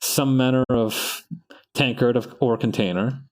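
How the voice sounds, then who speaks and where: A man's voice: mid-range voice, fairly even intonation, unhurried, poor audio. A young man whose first language is Spanish, chatting in a rambling, casual way while he draws on stream, often breaking off mid-sentence and laughing. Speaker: artist streaming practice.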